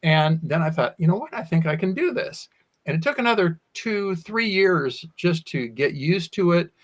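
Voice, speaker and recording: A man with a somewhat high-pitched voice speaks as a guest in an interview. He is talkative and tells personal stories, often quoting himself as he goes. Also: lively intonation, moderate pace. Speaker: guest, sword swallower. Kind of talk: animated anecdotal interview storytelling.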